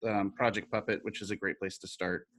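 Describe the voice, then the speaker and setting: A man's voice: lowish voice, level tone, a little fast, a bit bright. A plain-spoken man explaining his craft casually and encouragingly on a group call. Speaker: puppet builder with an art background. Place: remote virtual call.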